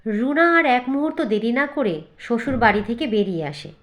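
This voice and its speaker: Casting: female storyteller for scripted cartoon narration, slightly high voice. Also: lively intonation, normal speed.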